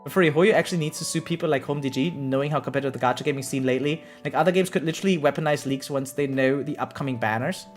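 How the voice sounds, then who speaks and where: A livestreamer reacts casually over background music, switching between reading aloud and chatting. The voice sits at a middle pitch. The delivery is animated and exclamatory, with frequent "right?" check-ins. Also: very fast, few pauses. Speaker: variety streamer. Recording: music behind the voice.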